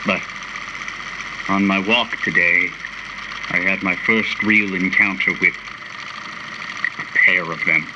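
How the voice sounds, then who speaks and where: A man playing a haughty, cultured tycoon who delivers a pompous, deliberate radio drama monologue. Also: low-pitched, fairly even intonation, lo-fi sound, noisy background. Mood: disdainful.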